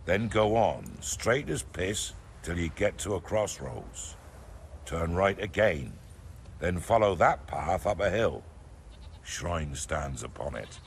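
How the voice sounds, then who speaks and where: A weary old british peasant, lowish voice, swoops up and down, folksy game villager. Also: male voice, sing-song, slow, gravelly, a little hiss or hum, exaggerated voice. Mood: sly, storytelling. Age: 70s. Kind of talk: video game voice acting.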